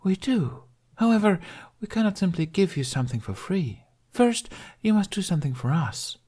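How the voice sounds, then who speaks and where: Male narrator as seductive mermaid woman, raised pitch, coy, purring, teasing, fantasy audiobook. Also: very animated, moderate pace, mellow, husky, exaggerated voice. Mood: coolly bargaining.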